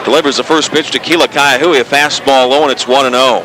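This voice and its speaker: A man calling live sports on radio as play-by-play announcer, given to excited shouting on big plays. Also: medium pitch, fast. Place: broadcast position at outdoor sports stadium. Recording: crowd and outdoor noise behind voice. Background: crowd noise.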